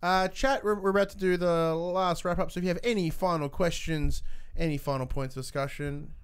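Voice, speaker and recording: A man's voice: high-pitched, fairly even intonation, a little fast, smooth. A man hosting a casual livestreamed film chat, rambling informally and often restarting his sentences. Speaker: podcast host.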